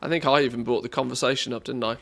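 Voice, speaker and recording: A man's voice: mid-range voice, fairly even intonation, racing, gravelly, fairly bright tone. Casual English man chatting on stream. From England, born in the northwest, lived mostly in the Midlands. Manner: jokey, self-deprecating. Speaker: game livestreamer. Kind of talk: casual livestream chat.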